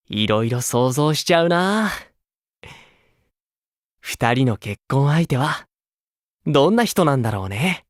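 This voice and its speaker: A man voicing a gentle, kindly older-brotherly idol with a romantic streak in an anime game, speaking softly. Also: medium pitch, big pitch swings, dry recording, studio quality. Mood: admiring, wistful. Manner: soft, kindly, unhurried.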